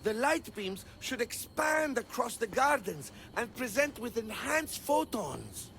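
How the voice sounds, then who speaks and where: An actor playing an eager, fussy botanist in a video game, wordy and pedantic, easily flustered. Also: male voice, very high-pitched, sing-song, unhurried, bright, forceful tone, a little hiss or hum, full character voice. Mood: flustered, puzzled. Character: eager, fussy male botanist of a mythical city, absorbed in theory. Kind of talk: video game cutscene acting.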